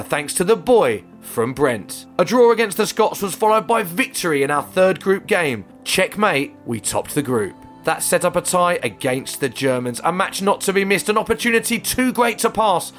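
An English man hosting a football podcast, his voice slightly croaky, with a dramatic, rhyming recap delivery. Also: on the high side, fairly bright tone. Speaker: podcast host. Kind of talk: scripted podcast presenting.

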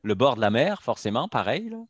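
A man's voice: mid-range voice, very animated, a little fast, husky, no room sound. A man hosting an interview, asking long winding questions and giving short replies. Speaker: podcast host. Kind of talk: interviewing a guest.

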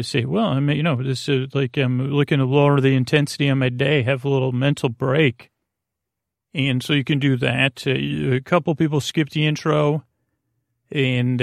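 A man with a creaky voice hosting a bedtime podcast in a meandering, halting, soothing monologue, often repeating himself and trailing off. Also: no echo, clean studio sound. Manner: soothing, low-pressure. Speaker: podcast host. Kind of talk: rambling bedtime monologue to help listeners sleep.

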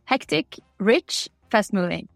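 A woman's voice: medium pitch, expressive, slow, vocal fry, dry recording, faint music behind. A woman from Norway with a Norwegian accent, answering interview questions in a candid, chatty way. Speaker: interview guest.

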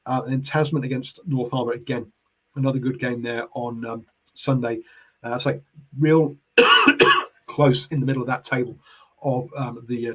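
A New Zealand man with a head cold and a hoarse voice, hosting a rugby podcast in casual solo talk, rambling with many fillers and restarts. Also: mid-range voice, a bit bright, poor audio.

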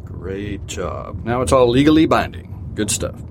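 This is a slick, evasive boss in an audio drama, breezy and dismissive of every worry. His voice is fairly low, and a clear hiss sits under the recording. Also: very animated, a little slow.

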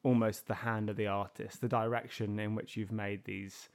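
A man's voice: on the low side, flat delivery, moderate pace. Friendly male podcast host. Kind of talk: scripted intro, then casual interview questions.

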